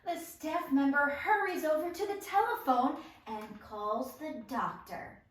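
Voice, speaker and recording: High-voiced woman narrating theatrically in echoey room. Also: animated, a little slow. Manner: theatrical, acts out sound effects. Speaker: musical writer reading stage directions at table read. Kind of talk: lively scripted narration. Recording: echoey room, distant mic, music behind.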